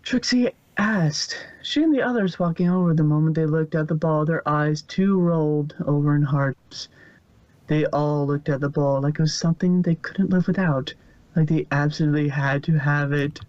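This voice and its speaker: A man's voice: on the high side, normal speed, a little mellow, dry recording. A man narrating fan fiction as a scripted story reading. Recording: muffled, dry lo-fi recording.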